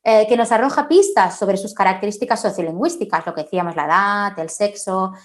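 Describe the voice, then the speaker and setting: Woman speaker, forensic linguist, explanatory, mid-pitched voice, from Spain. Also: brisk. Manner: explanatory, frequent "¿no?" tags and "eh" fillers. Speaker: forensic linguist giving a conference talk. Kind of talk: conference Q&A answers.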